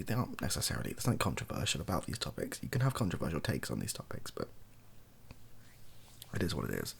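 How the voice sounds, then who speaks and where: Soft, low, creaky British man. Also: a little hiss or hum. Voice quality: soft, breathy, lapsing into near-whisper. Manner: self-deprecating, hesitant, wry. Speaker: video host. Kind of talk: softly spoken improvised rambling monologue.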